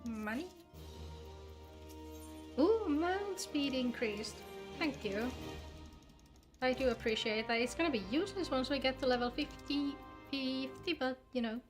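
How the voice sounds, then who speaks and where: A woman's voice: sits high, expressive, a little slow, pauses often, over music. A woman streaming a video game, chatting casually and playfully with her viewers, informal and free with swearing. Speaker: live streamer playing an online game. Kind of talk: casual gaming livestream chat.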